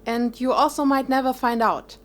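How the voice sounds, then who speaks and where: A woman playing a gentle but firm mother in an audio drama, reassuring and earnest. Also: sits high, fairly even intonation, moderate pace, natural voice. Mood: encouraging. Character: gentle, encouraging, firm middle-aged mother.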